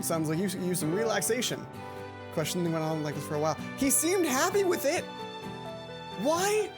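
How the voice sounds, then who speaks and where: Gaming youtuber doing a let's play, rambly and playful, keeps interrupting themselves, game music behind the voice. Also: mid-range voice. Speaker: gaming video host. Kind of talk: let's play commentary, reading visual novel text aloud. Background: video game music.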